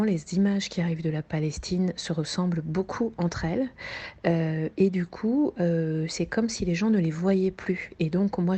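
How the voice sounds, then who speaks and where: A woman's voice: lowish voice, dark tone. A woman filmmaker answering interview questions in a thoughtful, hesitant way, with many fillers.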